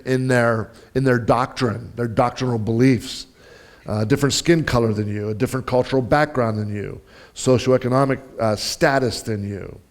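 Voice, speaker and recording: A man preaching an informal, conversational sermon as a pastor, halting and repeating himself, with self-deprecating humor and questions to his listeners. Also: medium pitch.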